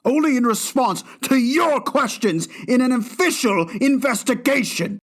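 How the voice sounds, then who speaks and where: A man's voice: very high voice, expressive, a little slow, heavily acted. A man voicing a haughty, aggrieved lord in a comic dub, pompous and argumentative. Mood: indignant. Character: haughty, indignant male lord, aggrieved suitor.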